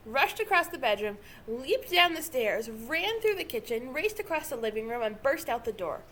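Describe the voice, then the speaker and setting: Woman, high pitch, very animated children's story narration, dramatic phrasing, noisy recording. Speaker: storybook reader.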